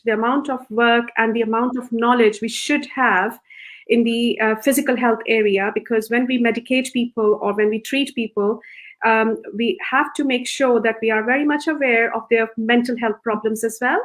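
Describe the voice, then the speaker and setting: A middle-aged woman from Pakistan, a psychiatrist guest, talking softly and gently with frequent hesitations. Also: a little high, steady tone, moderate pace, low-quality recording. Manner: soft, gentle voice with many hesitations. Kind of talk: interview answers.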